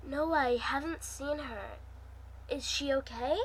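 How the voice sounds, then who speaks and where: A woman's voice: high-pitched, slow pace. A woman voicing a friendly, helpful female friend on a phone call in a story, casual and concerned.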